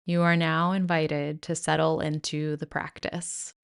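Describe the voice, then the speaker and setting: A woman guiding a yoga nidra meditation in a soothing, gentle, measured way with pauses. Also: deep voice, flat delivery, vocal fry, smooth. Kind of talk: guided meditation narration.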